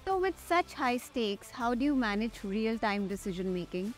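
Higher-pitched young Indian woman presenting. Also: sing-song, normal speed. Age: young adult. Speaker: host, airline pilot. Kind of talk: scripted interview hosting and presenting. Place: large glass-walled airport tower room. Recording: music under the voice. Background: background music.

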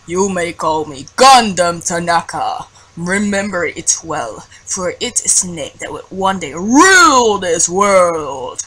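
A woman's voice: fairly low pitch, animated, takes it slowly, a bit bright, breathy edge, full character voice, rough recording. A woman voicing a grandiose young male overlord in a game fan dub, pompous and theatrically declaiming. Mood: menacing, proud. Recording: faint background noise.

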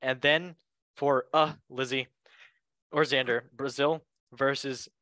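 A man hosting a casual sports chat show in a jokey, excitable way, speaking English as a second language. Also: medium pitch, slow, no echo. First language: not English. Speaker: show host.

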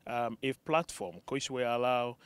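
A middle-aged man with a Nigerian accent, a researcher answering an outdoor interview into a handheld mic, measured and explanatory with many ums. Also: mid-range voice, smooth, dry recording. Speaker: researcher guest. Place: sunny outdoor campus.

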